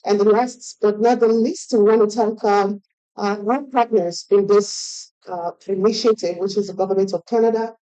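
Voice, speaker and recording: A woman's voice: mid-range voice, fairly even intonation, normal speed, mellow, clean tone, poor audio. A woman organizer giving hesitant remarks with many fillers to an event audience. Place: event stage.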